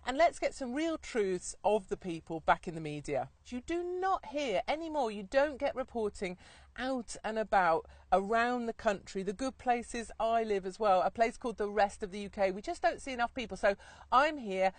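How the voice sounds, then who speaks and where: A woman's voice: on the high side, lively intonation, normal speed, dry recording. A woman, speaking as a reporter and interviewer.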